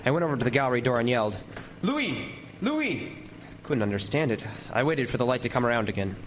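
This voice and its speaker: A man's voice: medium pitch, sing-song, slight background noise, close to an everyday voice. A man playing a lighthouse keeper who tells his tale as dramatic first-person narration in old-time radio horror style. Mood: urgent. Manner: vivid, deliberate storytelling with lingering descriptions. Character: lighthouse keeper recounting a frightful night.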